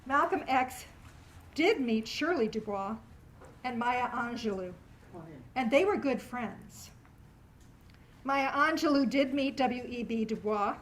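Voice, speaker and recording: Higher-voiced woman hosting an event. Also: animated, takes it slowly, pauses often, low-quality recording. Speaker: event host introducing a performance. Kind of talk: live spoken introduction to an audience. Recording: live room with faint background noise.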